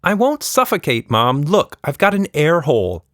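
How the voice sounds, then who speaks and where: A man voicing an easily bored little girl in a storybook, insistent, whiny and excitable. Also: on the high side, moderate pace, no room sound, clean studio sound, pitched above the performer's own voice. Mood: stubborn.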